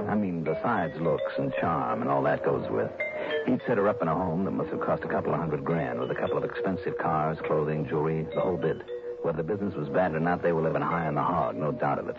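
A man's voice: very deep voice, gravelly, heavily acted. A man playing a wry, skeptical private investigator in a 1960s radio drama, speaking colloquially. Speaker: lead actor and narrator of a detective serial. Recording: dull old radio broadcast, music behind.

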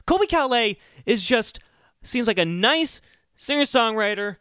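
Animated, high-pitched man co-hosting a podcast. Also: a little slow, clean tone, no echo, clean studio sound. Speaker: podcast co-host. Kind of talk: casual comedic podcast discussion.

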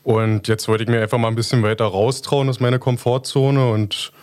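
A young male apprentice talking about his experience in a quick, relaxed way. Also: mid-range voice. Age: young adult.

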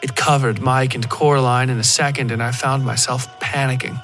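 A man giving a scripted first-person narration of dark horror fiction. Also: medium pitch, flat delivery, fairly quick. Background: music.

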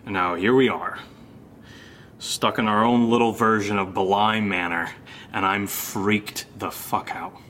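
A man's voice: on the low side, fairly even intonation, unhurried, fairly bright tone, slight background noise. A man acting an anxious young American who plays the rational friend, in a naturalistic horror audio drama. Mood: uneasy, confiding. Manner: casual, hesitant, sweary.

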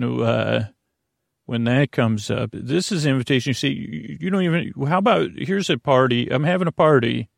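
A man hosting a bedtime podcast in a creaky, soothing voice, meandering hesitantly through tangents and interrupting himself. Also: medium pitch, dry recording, clean studio sound. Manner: soothing, lulling. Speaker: podcast host. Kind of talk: rambling bedtime monologue meant to lull to sleep.

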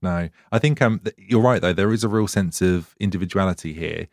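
A man's voice: deep voice, brisk, no room sound, studio quality. A man hosting a podcast, talking in a chatty, rambling way and laughing often. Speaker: podcast host. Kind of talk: casual interview chat.

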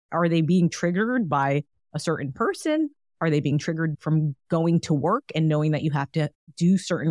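A woman's voice: low voice, no echo, studio quality. A woman hosting a solo wellness podcast, talking in a chatty, encouraging way with frequent fillers. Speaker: podcast host.

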